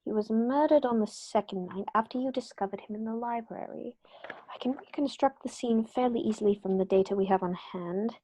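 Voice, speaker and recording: A woman playing a sharp, confident male detective in a radio play, crisp and brisk as he reasons through the clues. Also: a little high, lightly acted, poor audio. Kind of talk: amateur radio play acting.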